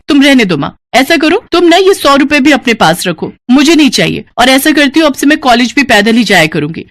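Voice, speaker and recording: A woman's voice: high voice, no room sound, studio quality, pitched above the performer's own voice. A woman voicing a spoiled college-age daughter in a cartoon moral story, whiny, petulant and sarcastic.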